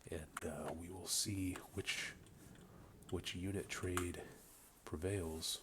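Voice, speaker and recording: A man with a voice slightly off from a cold, casually commentating a strategy game replay, relaxed and rambling with many ums. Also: deep voice, takes it slowly, a crowd in the background. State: getting over a cold. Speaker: game caster. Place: home room with a buzzing light.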